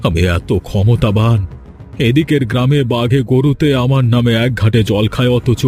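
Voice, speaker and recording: A man's voice: medium pitch, moderate pace, darkish tone, natural voice. Cartoon role: old landlord father male actor, proud, brooding, vengeful, commanding, light music bed. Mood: wounded rage. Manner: brooding, imperious. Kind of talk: cartoon voice acting.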